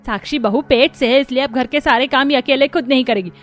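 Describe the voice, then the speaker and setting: A woman voicing a scolding, scheming middle-aged mother-in-law in an animated moral story, with a nagging, melodramatic delivery. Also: high-pitched, brisk, faint music behind. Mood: firm.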